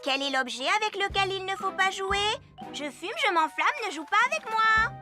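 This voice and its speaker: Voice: very high-pitched, expressive, moderate pace, over loud music. A performer voicing a confident, sensible little girl cadet, patient and slightly prim, in a children's cartoon. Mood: patient, firm.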